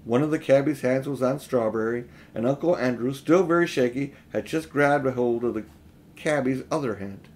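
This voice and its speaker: A middle-aged man reading a children's storybook aloud in expressive narration. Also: medium pitch, normal speed. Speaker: audiobook reader. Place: small room at home, indoors.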